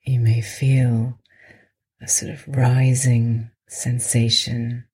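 Slow, breathy woman guiding meditation. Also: very deep voice, little pitch movement. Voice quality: soft, breathy, sometimes near a whisper. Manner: slow, soothing, with long pauses. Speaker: meditation podcast host and guide. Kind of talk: guided meditation narration.

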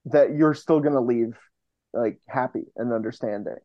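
A man chatting informally and a little haltingly as a guest in a casual podcast interview. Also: mid-range voice, dry recording, lo-fi sound. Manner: informal, halting, hedging with many "like"s. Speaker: podcast guest, co-creator of a fiction podcast.

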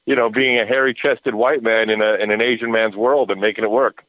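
A man's voice: on the low side, fairly even intonation, fairly quick, no echo. A man in his forties, a radio host guest, chatting casually and cracking self-mocking jokes over the phone. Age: 40s. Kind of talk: casual podcast chat. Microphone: phone line. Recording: tinny phone line, dry.

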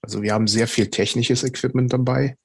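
A man answering as a podcast guest, explaining in a conversational, somewhat halting way. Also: mid-range voice, fairly even intonation, husky, dry recording, studio quality. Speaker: podcast guest, paranormal investigator.

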